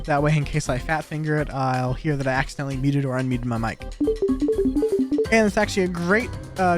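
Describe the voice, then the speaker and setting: Fast, high-voiced young man. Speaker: software developer presenting own app update. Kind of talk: casual scripted video walkthrough. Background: background music.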